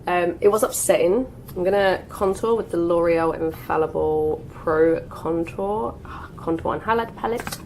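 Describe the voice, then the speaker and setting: A woman's voice: on the low side, measured pace, hardly any pauses, darkish tone, a little hiss or hum, rough recording. Chatty young woman with a cold. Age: 20s. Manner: chatty, frequent "like" and "um" fillers. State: getting over a cold. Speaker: beauty vlogger. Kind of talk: get ready with me chat.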